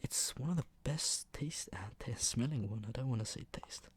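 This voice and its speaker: A man speaking in a hushed murmur that slips into whispering, with a sore throat, casually showing items for ASMR. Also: mid-range voice, moderate pace, husky. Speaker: ASMR video creator. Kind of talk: ASMR, soft casual product show-and-tell.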